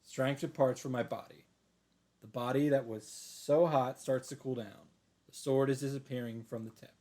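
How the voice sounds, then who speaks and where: A man's voice: medium pitch, steady tone, normal speed, mellow. A man reading visual novel narration aloud in a dramatic style, reacting off the cuff.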